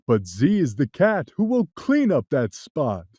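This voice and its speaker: A woman voicing a sly, boastful cat magician in a read-aloud storybook, deep and showy. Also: wildly swooping pitch, measured pace, slightly rough, no echo, full character voice. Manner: deep, loud, showy and sure of himself. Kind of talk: storybook reading.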